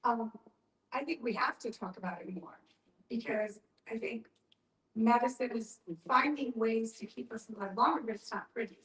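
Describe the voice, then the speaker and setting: A woman's voice: a little high, normal speed, fairly bright tone. A woman speaking reflectively and explaining her view in a group discussion, repeating phrases for emphasis. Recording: echoey room, distant mic.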